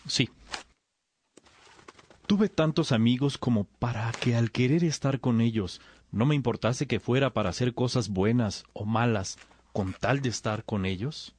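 A man plays a bookish young disciple in a radio drama. His voice is husky and mid-pitched, and he speaks earnestly and deferentially, with careful wording. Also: unhurried, darkish tone. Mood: earnest, questioning.

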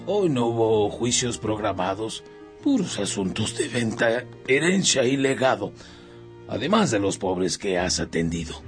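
Lively, dutiful church assistant. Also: male voice, lowish voice, a little slow. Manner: deferential, orderly. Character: dutiful church assistant announcing petitioners to the bishop. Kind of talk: radio drama acting. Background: music.

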